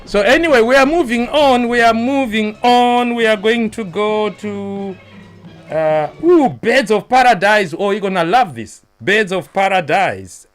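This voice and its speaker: A man's voice: high-pitched, lively intonation, measured pace, soft background music. A man hosting a live online show, chatty and teasing as he greets viewers by name. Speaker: show host.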